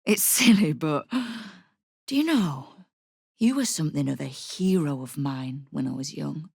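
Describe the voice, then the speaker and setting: A woman's voice: low voice, expressive, measured pace, gravelly, a little mellow, husky, no echo, studio quality, full character voice. An actress playing a shrewd, tough female crime boss in a video game, speaking with wry, controlled menace. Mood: wry, measured. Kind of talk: video game character dialogue.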